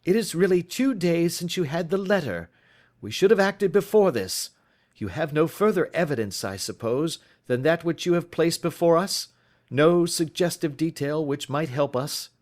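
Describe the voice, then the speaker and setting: A man voicing a brisk, commanding Victorian detective in an audiobook, speaking precisely and emphatically. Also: a little high, lively intonation, darkish tone, pitched above the performer's own voice, close to an everyday voice. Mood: probing. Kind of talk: audiobook character voice.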